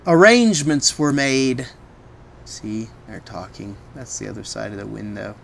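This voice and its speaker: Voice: medium pitch, measured pace, vocal fry, a little hiss or hum. A storyteller reading a picture book aloud expressively, with casual asides that explain things simply, as to a small child.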